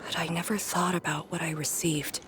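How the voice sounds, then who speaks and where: Actress as pensive young female game character, lowish soft voice, melancholy, subdued, music behind. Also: flat delivery, a little fast, close to an everyday voice. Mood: wistful, reflective. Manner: reflective, subdued. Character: pensive, melancholy young woman, quietly resolute. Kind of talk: scripted game voice acting. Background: prominent music.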